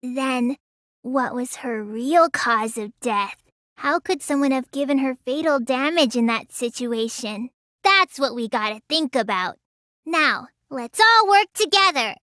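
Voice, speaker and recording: Teenage girl mage, video game acting, childlike high pitch, slow sleepy drawl, whiny, sluggish. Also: expressive, bright, forceful tone, no room sound, studio quality, exaggerated voice. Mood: matter-of-fact.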